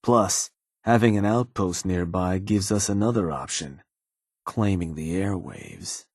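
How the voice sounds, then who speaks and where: A man playing a gruff, shrewd raider strategist in a video game, with a husky voice and a laid-back, businesslike, colloquial delivery in clipped phrases. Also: low-pitched, a little slow, darkish tone, dry recording, clean studio sound. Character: gruff, shrewd male raider strategist advising his gang boss. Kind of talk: video game character dialogue.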